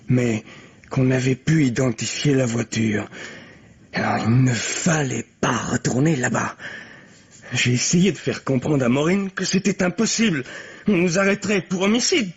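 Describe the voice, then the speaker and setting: An actor playing a panicked, self-justifying playwright confessing in an old French radio crime drama. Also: male voice, medium pitch, husky. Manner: agitated, self-justifying, breaking into hysterics. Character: panicked, self-pitying male playwright confessing a fatal hit-and-run. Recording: dull, lo-fi vintage radio recording.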